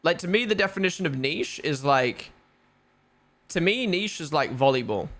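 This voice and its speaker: A man's voice: on the high side, animated, moderate pace, a bit bright. Rambling New Zealand-accented young man. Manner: rambling, filler-heavy, swears casually. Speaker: live stream host. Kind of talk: casual live watch-along chat.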